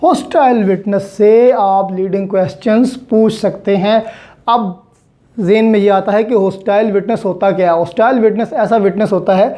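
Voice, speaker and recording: A woman's voice: mid-range voice, steady tone, moderate pace, dark tone. A woman with a Pakistani accent teaches law in an explanatory lecture, mixing in English legal terms and restating key points didactically.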